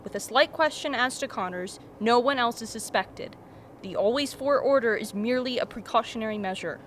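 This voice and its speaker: An actress playing a coolly analytical scientist in a radio play, formal and measured with a dry wit. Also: female voice, fairly high pitch, a little hiss or hum, lightly acted. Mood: cool, detached.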